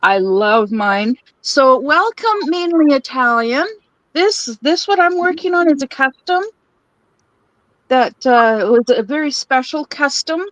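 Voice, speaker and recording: A high-voiced woman chats slowly and easily as she hosts a crafting live stream. She giggles between phrases and rambles with plenty of fillers, and the sound is close and dry. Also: animated, fairly bright tone, no echo, rough recording. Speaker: livestream host. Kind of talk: casual live-stream chat while crafting.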